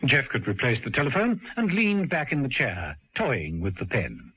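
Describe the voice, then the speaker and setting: A man narrating a radio drama in a measured storytelling manner. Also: mid-range voice, huge pitch swings, husky. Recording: dull lo-fi radio broadcast.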